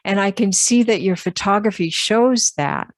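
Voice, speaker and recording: A woman's voice: medium pitch, lively intonation, normal speed, dry recording. A woman hosting a podcast, interviewing a guest and praising her work effusively. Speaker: podcast host.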